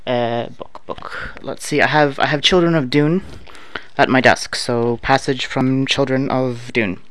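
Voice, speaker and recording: A man's voice: mid-range voice, a little slow, no echo. A Canadian man in his thirties casually reading survey prompts aloud and answering them with dry, offhand humor. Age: 30s. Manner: relaxed, offhand, dry humor with many filler uhs. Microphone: no proper microphone, home setup.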